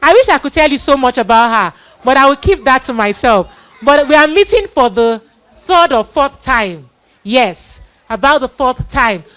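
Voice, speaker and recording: High-voiced woman hosting a children's church event. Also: animated, normal speed, fairly bright tone. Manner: exhorting, repeats phrases. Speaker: host of a children's Bible play event. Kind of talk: live event hosting, introductions and thanks.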